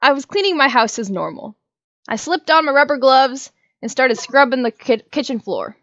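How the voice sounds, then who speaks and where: A woman's voice: high-pitched, expressive, normal speed, a bit bright, no echo, lo-fi sound. A woman talking casually, like someone chatting with friends during a game or story night. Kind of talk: casual chat among friends while gaming, reading her own story.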